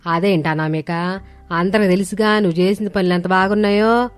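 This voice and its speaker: A woman's voice: mid-range voice, fairly even intonation, brisk, lightly acted. A woman voicing a traditional older mother-in-law, firm and caring, with an earnest, admonishing way of talking. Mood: moved. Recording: studio voice over background music.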